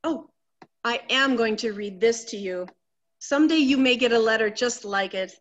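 A high-voiced, anxious wife of middle age, played by a woman, vain and fretful about herself. Her delivery is melodramatic and fluttery, full of exclamations, in the manner of a theatrical stage comedy. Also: moderate pace, muffled recording, natural voice. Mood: sentimental, flustered.

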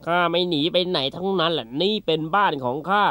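A man's voice: a little high, expressive, quick pace, bright, forceful tone, smooth, no room sound, clean studio sound. A man voicing a brave, wily turtle with a confident, teasing manner in a children's fable. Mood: defiant, firm. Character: brave, wily, unflappable turtle who outsmarts bullies. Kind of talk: children's animal fable character acting.

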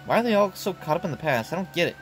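A brash young swordswoman from a game story boasts in a raised, lively voice, as a man puts her on. She is cocky and sure of herself, the kind who brags without pause. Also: on the high side, soft background music, natural voice. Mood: proud.

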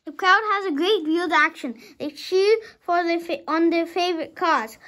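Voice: very high-pitched, steady tone, moderate pace, sharp tone. A young child of about five reading a storybook aloud dramatically, sometimes stumbling over words and rereading them.

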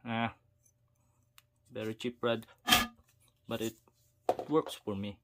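A man casually narrating his own hands-on fishing rod repair to viewers, talking to himself between steps at an unhurried pace. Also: fairly low pitch, level tone, very noisy. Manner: unhurried, self-talk with pauses, addresses viewers as guys. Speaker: fishing video host. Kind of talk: casual how-to narration while working. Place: indoors at a wooden table.

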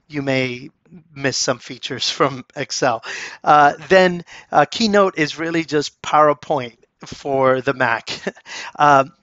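A fairly high-voiced man talks through a beginner software lesson in a loose, chatty way, full of small fillers and eager praise. The voice sits close and dry, with a slightly dull, lo-fi sound. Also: smooth, dry recording. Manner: chatty, filler-heavy, enthusiastic. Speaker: course instructor. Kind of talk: casual software tutorial voice-over.

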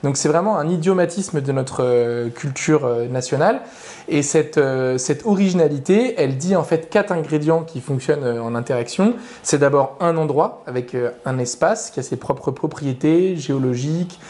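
A man's voice: on the high side, moderate pace, warm tone. A young adult man, an author invited as a guest, giving long structured explanations that list his points in order. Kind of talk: explanatory interview answers.

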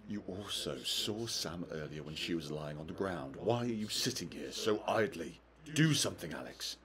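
A man's voice: low voice, expressive, normal speed, fairly bright tone. An actor playing a goading, scornful male inner voice in a video game, commanding and contemptuous. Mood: scornful, urging.

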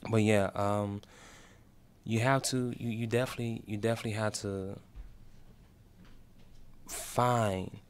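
A man's voice: on the low side, steady tone, a little slow, long pauses, a little hiss or hum. A man, a guest in a radio studio, speaking openly and encouragingly into a desk mic. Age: 20s. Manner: open, encouraging, testimonial. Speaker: guest, peer specialist. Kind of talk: casual interview chat. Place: small radio studio, indoors. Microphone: desk mic on stand.

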